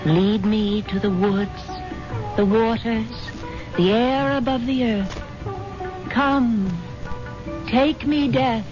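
An actress playing an earnest, wounded rich wife in a 1970s radio drama, speaking with theatrical sincerity. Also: female voice, fairly high pitch, fairly even intonation, slow, long pauses. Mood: solemn reciting. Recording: dull 1970s radio broadcast, music behind.

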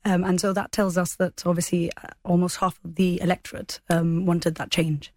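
A woman's voice: on the low side, little pitch movement, moderate pace, a little mellow. A woman speaking as a political guest in a formal interview, earnest and careful with her phrasing.

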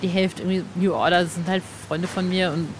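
A woman's voice: lowish voice, flat delivery, fast, noisy background. A woman interviewing in a casual podcast chat, informal and enthusiastic like a fan.

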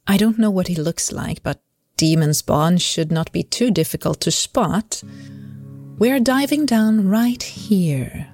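Woman playing an otherworldly narrator, unhurried, lowish pitch, knowing, dry wit, audio drama, faint music. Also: darkish tone, no room sound. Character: unearthly storyteller who speaks as a divine voice to prophets.

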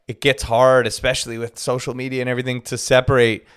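A man hosting a podcast, a father who chats casually and asks animated, searching questions. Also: medium pitch, fast, smooth. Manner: animated, questioning, laughs easily. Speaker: podcast host.

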